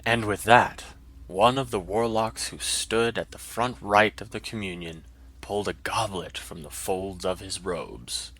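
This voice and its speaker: A man's voice: lowish voice, a little slow, fairly bright tone. A man narrating a scripted fantasy story in a dramatic style.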